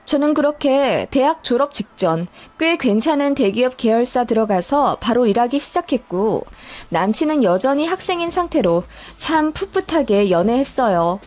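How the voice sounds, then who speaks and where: A woman's voice: high-pitched, a little mellow. A woman reading a scripted first-person personal story as a narrator, in a storytelling style. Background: background music.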